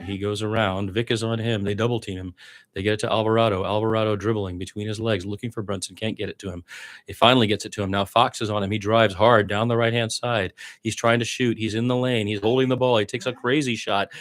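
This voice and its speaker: Low-voiced man calling basketball play-by-play. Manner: partisan, exasperated fan asides. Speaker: amateur play-by-play commentator, team fan. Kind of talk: live radio-style basketball play-by-play commentary.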